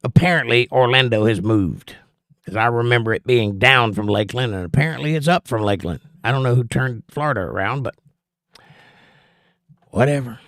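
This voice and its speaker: A middle-aged man with a Southern Georgia accent he has smoothed down through public speaking. He sounds strained, but he chats in a relaxed way at a medium pitch, reading comments aloud and answering them. The sound is close and dry, with no room echo. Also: a little fast. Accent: softened Southern US (Georgia). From Georgia, USA. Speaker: livestream host reading chat. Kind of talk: casual livestream chat.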